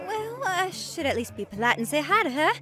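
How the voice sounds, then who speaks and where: A woman voicing a sweet, gentle young snow woman who talks in a polite, folksy, timid way. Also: high voice, sing-song, brisk, faint music behind, heavily acted. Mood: friendly.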